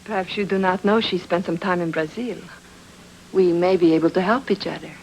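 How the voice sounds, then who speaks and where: An actress playing a guarded, sultry woman with secrets, speaking in formal, measured radio noir diction. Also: lowish voice, slight background noise, lightly acted, rough recording. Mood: haughty, probing. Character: poised, guarded, sultry femme fatale with secrets. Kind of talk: old-time radio noir acting.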